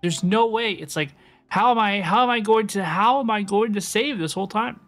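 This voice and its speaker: A woman's voice: medium pitch, moderate pace, faint music behind. A woman streaming a game, chatting casually and slangily with viewers and often repeating her phrases. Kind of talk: casual livestream chat over gameplay.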